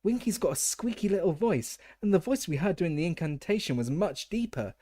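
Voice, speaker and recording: A man's voice: high voice, animated, a little fast, dark tone, dry recording, pitched above the performer's own voice. A determined teenage girl speaks up earnestly despite her nerves, acted by a male reader lifting his voice. It is a dry, close recording. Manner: earnest, appealing. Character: nervous but determined schoolgirl.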